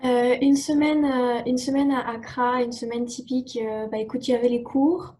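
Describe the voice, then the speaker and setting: A woman's voice: a little high, fairly even intonation, moderate pace, warm tone, slight background noise. A young woman whose first language is English, answering interview questions casually with many fillers and restarts. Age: young adult. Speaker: exchange student guest.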